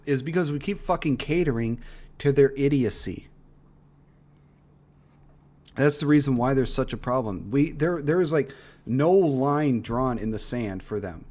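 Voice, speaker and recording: A man streaming his reactions in a loose, unscripted way. He sits at a middle, ordinary pitch and delivers flat, blunt opinions heavy with swearing, sometimes trailing off into mumbles. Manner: blunt, profane ranting with frequent swearing. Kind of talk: casual reaction commentary.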